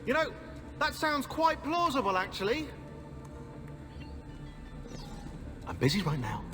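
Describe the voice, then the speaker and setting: Animated, chatty history buff. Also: male voice, very high voice, fairly quick, in a large hall, music in the background, exaggerated voice. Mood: amused. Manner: animated, informal. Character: chatty, eager history-buff man telling stories. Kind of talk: casual conversational game acting.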